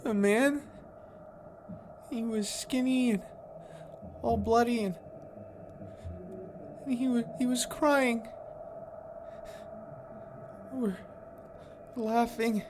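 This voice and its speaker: A woman acts a young schoolboy in an audio drama, shy and easily frightened. The voice is high and slow, with halting, hesitant phrases. There is faint noise and room ambience behind it. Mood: shaken, fearful.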